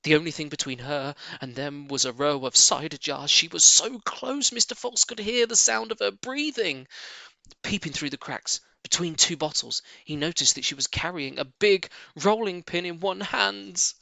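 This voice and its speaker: Guy reading a kids story out loud, animated, really bright punchy voice, no room echo. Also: fairly high pitch, moderate pace, creaky. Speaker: audiobook reader. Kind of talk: animated children's storybook narration.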